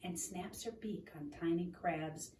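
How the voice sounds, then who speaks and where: A woman reading a children's book aloud in an expressive, deliberate storytelling manner, in a roomy, noisy recording made at a distance from the microphone. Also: on the low side. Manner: expressive, deliberate, asking the listener questions.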